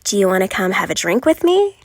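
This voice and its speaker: A woman playing a lovelorn, frustrated passenger venting confessionally in an animated series. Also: medium pitch, brisk, dry recording, studio quality. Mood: wistful.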